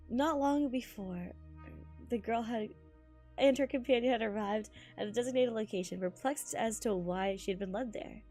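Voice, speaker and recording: A woman with a fairly high voice streams a game, reading and commenting as she goes. She is excitable and tends to exclaim or trip over lines. Faint music plays behind her. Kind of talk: live reading and reaction commentary of a game story.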